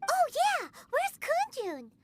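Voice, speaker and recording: Tiny childlike fairy companion: extremely high, wildly animated, excitable fantasy game voice. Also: female voice, slow, heavy background noise, exaggerated voice. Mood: eager. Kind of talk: video game character acting.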